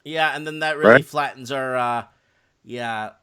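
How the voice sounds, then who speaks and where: A man hosting a live call-in stream, chatting casually and unscripted in a halting, rambling way. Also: medium pitch, sharp tone, clear, resonant tone.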